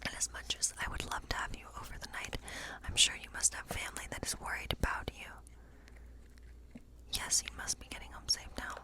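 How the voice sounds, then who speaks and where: Softly whispering woman, soothing ASMR witch. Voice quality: breathy, unvoiced whisper. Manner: gentle, slow, soothing reassurance. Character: kindly witch reading tarot in her woodland cottage. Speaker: ASMR performer playing a witch. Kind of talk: whispered ASMR fantasy role play.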